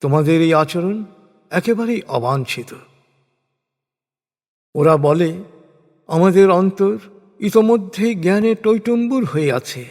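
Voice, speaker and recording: A man reading a religious translation as a solemn scripted narration, in measured, deliberate phrases. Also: high voice, expressive, darkish tone. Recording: long hall-like reverb.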